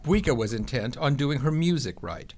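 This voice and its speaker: A man narrating a scripted news feature in a measured, even delivery. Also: mid-range voice. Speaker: reporter narrating. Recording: voice-over with music bed.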